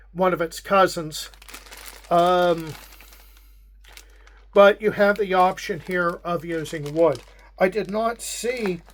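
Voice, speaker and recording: A man with a Pittsburgh accent, hosting a casual unboxing and walking through the contents in a measured way with frequent pauses. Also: sits high. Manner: unhurried, measured, with frequent hesitations. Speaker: wargame channel host.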